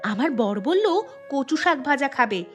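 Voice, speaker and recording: High-pitched chatty woman in a cartoon. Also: animated, a little slow. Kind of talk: cartoon dialogue voice acting. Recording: clear music behind the voice.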